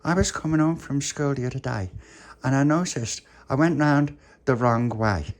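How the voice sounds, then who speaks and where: A chatty, adventurous little schoolgirl in a children's story, played by a man, with a raised pitch. She is earnest and rambles as she explains. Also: normal speed, close to an everyday voice. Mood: earnest, excited.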